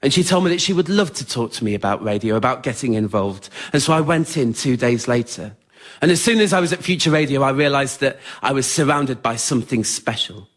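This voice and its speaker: A man's voice: medium pitch, expressive, fairly quick, fairly bright tone. A man tells an autobiographical story to a theatre audience in an understated, wry, plain-spoken way, as the writer and performer of a solo show. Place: theatre stage in front of an audience.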